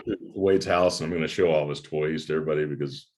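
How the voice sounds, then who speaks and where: A man hosting a movie review chat in a casual, halting way, often repeating his words. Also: a bass voice, fairly even intonation, darkish tone. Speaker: host of a movie review channel. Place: home room with a desk, on a video call.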